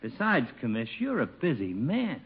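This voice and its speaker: Breezy, husky wisecracking radio barber. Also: male voice, medium pitch, huge pitch swings, moderate pace, full character voice. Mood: pushy, coaxing. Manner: breezy, slangy, cajoling. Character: chummy, wisecracking small-town barber, pushy and familiar. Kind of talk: 1940s-50s radio comedy acting. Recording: dull vintage radio broadcast recording.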